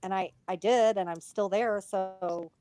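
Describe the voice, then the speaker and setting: A woman's voice: mid-range voice, fairly even intonation, unhurried, dark tone, no room sound. A woman appearing as a guest on a livestream, chatting casually and mostly answering in short, agreeable replies. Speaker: guest streamer.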